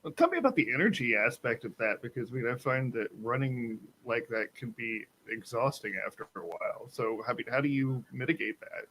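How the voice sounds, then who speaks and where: A man's voice: medium pitch, brisk, few pauses, low-quality recording. A man hosting a live online talk show, opening with hype and then asking his guest questions. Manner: energetic, hype-style intro, conversational questions. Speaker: co-host.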